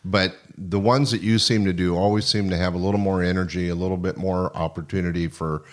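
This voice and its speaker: A man's voice: low voice, steady tone, a little fast. A man hosting a conversational interview, speaking in a complimentary, rambling way. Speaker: podcast host interviewing guests.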